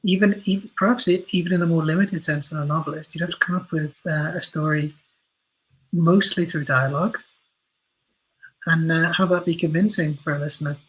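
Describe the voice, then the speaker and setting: A man speaking casually as an interview guest, explaining things with animated, stumbling self-corrections. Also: fairly high pitch, a little fast, breathy edge, rough recording. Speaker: interview guest, audio drama writer and director.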